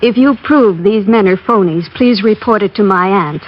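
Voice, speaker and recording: A woman playing a breathy flirtatious heiress. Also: medium pitch, normal speed, lightly acted. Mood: coy. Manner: coy, teasing delivery. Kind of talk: 1950s radio drama acting. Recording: dull old radio broadcast.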